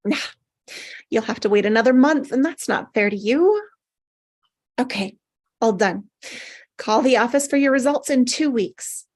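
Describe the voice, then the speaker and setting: A woman's voice: a little high, expressive, unhurried, long pauses, darkish tone, slightly rough. A woman playing an anxious, apologetic doctor in a script table read. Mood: subdued. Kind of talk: acted script reading.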